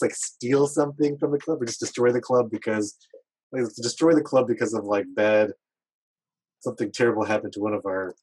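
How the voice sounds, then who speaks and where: A man's voice: fairly low pitch, darkish tone, slightly rough, no room sound, poor audio. A man playing a tabletop game over a call, rambling through plans with many fillers. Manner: rambling, hesitant, full of likes. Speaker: player. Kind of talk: casual chat while planning in a tabletop game.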